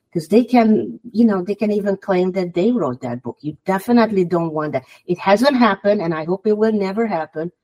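Woman, Hungarian accent, non-native English speaker, fairly low voice, earnest podcast guest giving advice. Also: dark tone, low-quality recording. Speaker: guest author. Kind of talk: podcast interview.

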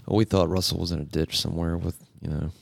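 A man hosting a podcast, chatting casually in a crude, joking way and telling animated stories. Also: sits low, fast talker, gravelly. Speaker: podcast host. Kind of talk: casual chat.

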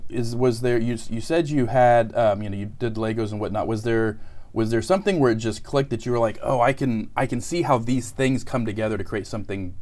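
A man hosts an interview show in a friendly, chatty way. He often trips over his words and restarts his questions, and his voice sits at an everyday pitch for a man. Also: fairly quick, darkish tone. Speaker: host. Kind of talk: casual interview.

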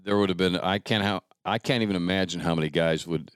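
A man's voice: low-pitched, fast talker, smooth, no room sound, studio quality. A middle-aged American man hosting a casual chat, reminiscing in a rambling, conversational way. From rural United States. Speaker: podcast host.

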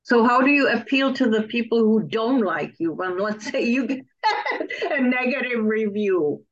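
A woman's voice: on the high side, animated, unhurried. A woman hosting an interview, putting questions to her guest in a conversational style. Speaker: interviewer of authors, host. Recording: muffled lo-fi sound.

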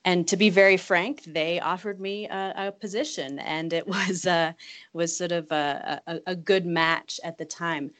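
A woman in her late thirties, from Virginia, answering interview questions thoughtfully with frequent restarts and repeated words. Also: on the low side. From Virginia, United States. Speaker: guest, government attaché.

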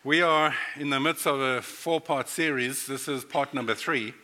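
A man's voice: medium pitch, moderate pace, sharp tone, in a large hall. A middle-aged man from Africa, preaching a playful sermon that speaks directly to his congregation in a church sanctuary. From South Africa. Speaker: pastor.

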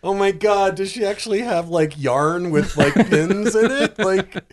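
A man chatting casually as a podcast panelist about films, animated and often acting out imagined reactions. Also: high voice, measured pace. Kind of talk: casual movie discussion.

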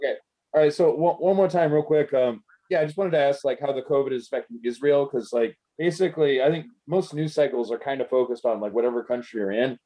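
A man's voice: mid-range voice, quick pace, dark tone, low-quality recording. A man co-hosting a podcast, interviewing casually in a rambling, slangy, sweary way. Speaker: podcast co-host.

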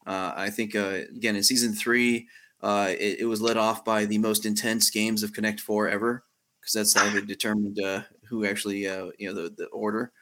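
Male podcast host, low bright voice, lots of uh pauses while leading the chat. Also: flat delivery. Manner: steering the talk, many "uh" pauses. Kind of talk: podcast interview.

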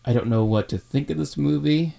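A man's voice: fairly high pitch, fairly quick, creaky, warm tone. A man in his thirties co-hosting a podcast, chatting casually and rambling with fillers. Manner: rambling, with many fillers and restarts. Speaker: podcast co-host.

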